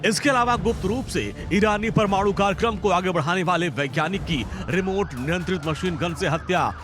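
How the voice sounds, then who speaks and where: A man's voice: high-pitched, fairly quick. A man narrating a news feature in a dramatic, emphatic scripted style. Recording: voice-over with loud music bed.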